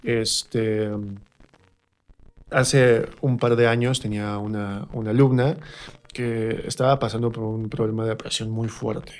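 A man from Mexico with a Mexican accent, telling anecdotes reflectively as an interview guest and often pausing to search for words. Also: fairly low pitch, little pitch movement, darkish tone. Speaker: interview guest, performer and drama teacher. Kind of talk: conversational anecdote in a radio interview.

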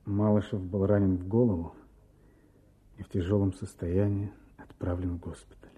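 A grave former soldier telling his memories in a Soviet radio play. The voice is low, very husky and soft, with measured, solemn delivery. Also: little pitch movement. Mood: reflective. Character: grave, reflective war veteran remembering a lost comrade. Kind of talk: dramatic first-person narration, poetry reading.

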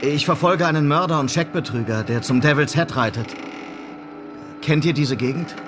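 A man plays a courteous but unsure city lawman out west. His voice sits a little high, formal and slightly hesitant, with music behind it in a radio drama. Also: normal speed, natural voice. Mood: polite, uncertain. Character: polite, unsure male city police sergeant seeking a scout. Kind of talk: German radio western acting.

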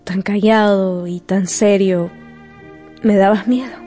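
Actress as an earnest young woman, slow and soft-toned. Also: mid-range voice, steady tone, soft background music, natural voice. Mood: tender, curious. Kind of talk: Spanish-language radio drama acting.

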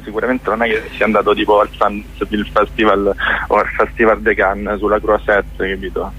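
A man's voice: on the low side, completely flat delivery, a little fast, a bit bright, a little hiss or hum, poor audio. A man with a Roman accent chatting casually as a guest director in a radio interview, sarcastic, slangy and sweary. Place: radio studio.

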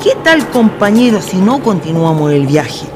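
A man's voice: sits high, big pitch swings, moderate pace, noisy background. An actor playing a blustering, drunk Chilean villager in a radio drama, out with his friends at night. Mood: uneasy bluster.

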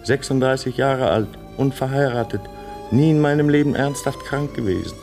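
A man's voice: mid-range voice, moderate pace, natural voice. An actor in his thirties playing a frightened man who pleads urgently to be heard, with music behind. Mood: desperate. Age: 30s. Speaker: radio play lead.

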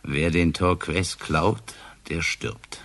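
Low, creaky, brooding police inspector. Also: male voice, steady tone, slow, fairly bright tone, full character voice. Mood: pensive, doubtful. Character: male provincial police inspector, brooding, sceptical, dry. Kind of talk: naturalistic German radio crime drama acting.

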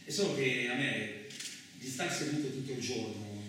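A man's voice: mid-range voice, moderate pace, close to an everyday voice. A male actor playing a boastful, rambling truck driver with touchy pride in a chatty, self-justifying radio monologue. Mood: wistful pride. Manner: chatty, self-justifying, punctuated with rhetorical asides. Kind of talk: theatrical radio monologue, colloquial storytelling. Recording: very echoey, distant, clearly noisy recording.

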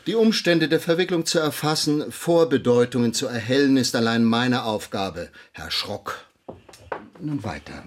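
An actor playing a stern, insistent magistrate in a German period radio drama, husky and formally interrogating. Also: male voice, mid-range voice, expressive, normal speed, natural voice. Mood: officious. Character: stern, insistent male magistrate questioning a witness.

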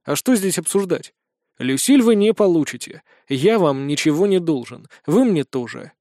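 Audiobook hero: cocky, defiant young man acted by the male narrator, light high pitch, casual firmness, dry. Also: sing-song, moderate pace, warm tone, no room sound. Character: cocky, stubborn, plain-spoken young male fantasy hero.

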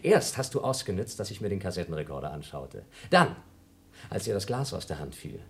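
A man's voice: sits low, big pitch swings, brisk. An actor playing a younger astronaut in a German radio drama, arguing with cold, pedantic logic and accusing his rival. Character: younger male astronaut, cunning, argumentative, coldly accusing rival.

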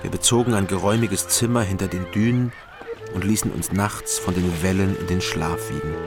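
An actor playing a guarded East German investigator in a German radio drama, terse and understated, both narrating and in dialogue. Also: male voice, deep voice. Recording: music behind the voice.

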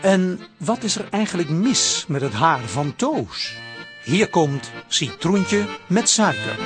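A man's voice: high voice, very animated, slow. A man announcing a radio drama serial in scripted narration. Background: music.

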